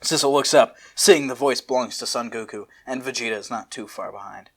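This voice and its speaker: A young man narrating fan fiction as host, casual and sweary with animated asides. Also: mid-range voice, a little fast.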